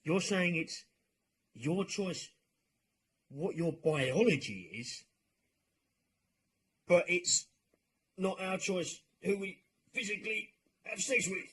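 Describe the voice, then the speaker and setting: A man's voice: sits high, animated, slow, long pauses, a bit bright, husky, poor audio. A British man giving a mocking opinion rant as an online commentator, with a sarcastic, exasperated, informal manner.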